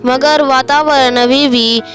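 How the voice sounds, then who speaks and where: A woman's voice: sits high, fairly even intonation, slow, fairly bright tone. A woman narrator reading a scripted horror story. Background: music.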